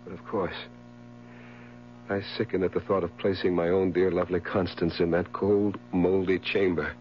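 An actor playing a tormented, haunted widower sliding into madness, overwrought and halting in a theatrical 1970s radio drama style. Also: male voice, sits low, little pitch movement, close to an everyday voice. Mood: weary fear. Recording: muffled, noisy 1970s radio broadcast with hum.

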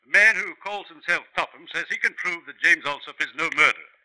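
A man's voice: a little high, fairly quick. An actor playing an urgent male messenger who calls out in a 1950s radio drama. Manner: urgent, shouting from a distance.

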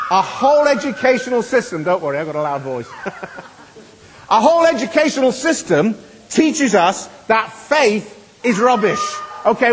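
A middle-aged man preaching a sermon in a church, earnest and emphatic, swinging between loud and hushed. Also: high voice, a little hiss or hum. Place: church hall. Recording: long hall reverb.

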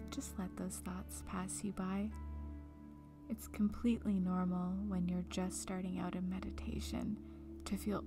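Female meditation guide: hushed, breathy, soothing, unhurried delivery over music. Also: medium pitch. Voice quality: soft, breathy, often near whisper. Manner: calm, soothing, gentle, with long pauses. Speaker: meditation guide, breakup coach.

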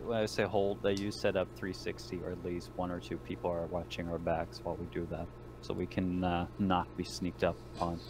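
A man's voice: low voice, little pitch movement, fairly quick. A man roleplaying as a squad leader in a tabletop game, giving clipped, commanding orders over online voice chat. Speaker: player acting as squad lieutenant. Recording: noisy online voice chat recording.

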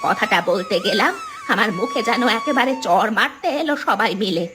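A woman's voice: on the high side, sing-song, moderate pace, over music. A woman voicing an aggrieved, cantankerous old village meat seller in a cartoon, with rustic, complaining speech. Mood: tearful complaining. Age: older. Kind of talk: cartoon character acting.

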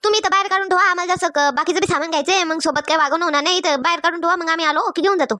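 Woman voicing an impatient, rapid-fire cartoon village housewife. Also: very high-pitched, fairly even intonation, bright, forceful tone. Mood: brisk. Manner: complaining, colloquial. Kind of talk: Marathi comedy cartoon dialogue.

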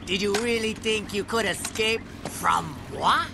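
A man's voice: high-pitched, vocal fry, background noise. An actor playing a menacing, flamboyant male pirate in a video game, theatrical and mocking. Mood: mocking, suspicious. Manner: theatrical, taunting, drawn-out. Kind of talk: video game villain acting. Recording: game music behind.

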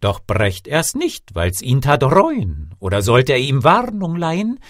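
A man's voice: mid-range voice, huge pitch swings, no echo, clean studio sound, pitched above the performer's own voice. A man voicing a mournful male dove who prophesies doom in portentous rhyme, in a storybook reading. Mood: ominous lament. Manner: slow, rhyming, portentous.

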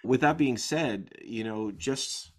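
A middle-aged man interviewing a guest over a video call, asking soft-spoken, halting questions. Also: medium pitch.

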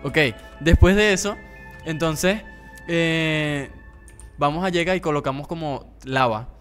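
Casting: young male gamer, higher pitch, excitable and playful, casual banter over background music. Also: unhurried. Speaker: gaming video host, player. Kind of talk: casual gameplay chat with friends.

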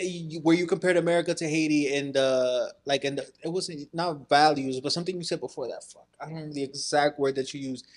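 Fast-talking young man, casual podcast chat. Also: fairly high pitch, a little mellow. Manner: rambling, restarts, swears often. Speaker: podcast co-host. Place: dark studio room. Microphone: boom-arm podcast mic.

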